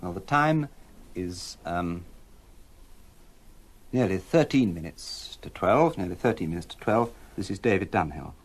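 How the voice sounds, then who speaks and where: A man playing a wistful, formal 1960s British radio announcer, speaking in a measured, understated way. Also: low voice, lively intonation, slightly rough, exaggerated voice.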